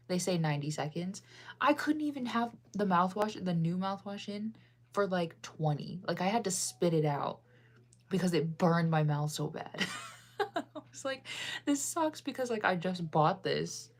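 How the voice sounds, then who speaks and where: A young woman from the United States chatting casually on a livestream, rambling with lots of fillers and self-corrections as she answers chat. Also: fairly low pitch.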